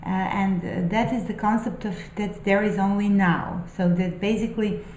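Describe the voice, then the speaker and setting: A woman who speaks English as a second language, musing aloud about spiritual ideas in a halting, thoughtful way, with many pauses and fillers. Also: medium pitch, steady tone, a little mellow, roomy sound, a little hiss or hum, low-quality recording. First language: not English. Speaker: spiritual vlogger. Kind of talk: unscripted musing monologue.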